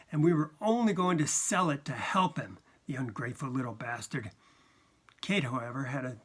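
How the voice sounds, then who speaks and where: A narrator reading a first-person story dramatically, in a colloquial, wry and exasperated way. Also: mid-range voice. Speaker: story reader.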